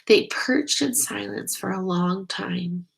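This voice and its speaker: Slow gentle woman reading a storybook. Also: mid-range voice, gravelly. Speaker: storybook reader. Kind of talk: children's read-aloud narration.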